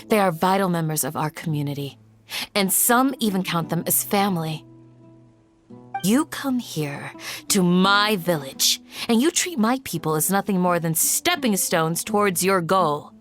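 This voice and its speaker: A woman's voice: lowish voice, expressive, unhurried, soft background music. A woman playing a stern, righteous village guardian in a video game, formal and composed with controlled anger.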